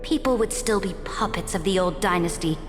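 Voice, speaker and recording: An actress playing a proud, commanding conquering empress in a video game, speaking in grand, formal declamation. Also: female voice, lowish voice, brisk, close to an everyday voice. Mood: proud, defiant. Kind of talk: dramatic video game voice acting. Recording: long reverb with loud music behind.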